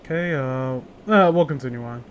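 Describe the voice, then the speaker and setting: A man's voice: medium pitch, normal speed, a little hiss or hum. A man hosting a casual read-along with friends, joking in a crude, teasing way. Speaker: host reading horror stories aloud with friends.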